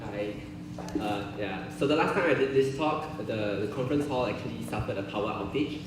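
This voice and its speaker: A man from Singapore, giving a friendly, halting conference talk full of filler "uh"s in a large conference hall. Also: on the low side. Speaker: conference speaker. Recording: very echoey hall, from far, noisy.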